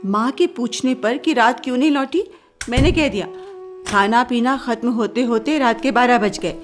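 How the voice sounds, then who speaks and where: Actress as proud young heroine, high-pitched, sharp-tongued, firm, radio drama. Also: female voice, moderate pace, soft background music, close to an everyday voice. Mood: conspiratorial. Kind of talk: Hindi radio play acting.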